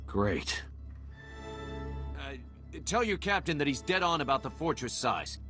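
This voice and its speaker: Blunt, wary army sergeant. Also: male voice, on the high side, sing-song, measured pace, sharp tone, soft background music, heavily acted. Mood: suspicious, grudging. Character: wary, suspicious male Republic army sergeant. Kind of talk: video game voice acting.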